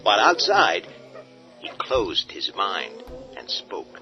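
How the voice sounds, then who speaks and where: A man giving measured, dramatic scripted narration of a horror radio drama. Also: fairly low pitch, wildly swooping pitch. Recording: dull lo-fi audio with faint music.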